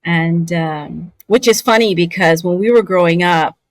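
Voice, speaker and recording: A woman from Southern California, a voice actor, chatting as a guest over a remote video call from home, relaxed and quick to laugh. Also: lowish voice, dry recording. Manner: relaxed, unhurried, laughs easily. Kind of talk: casual interview chat. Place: home in Scotland.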